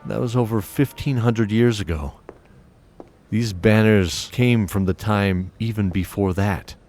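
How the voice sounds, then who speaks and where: A man narrating a fantasy audio drama in a lowish voice, delivering steady, descriptive scripted storytelling. Music plays clearly beneath him. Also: a little slow.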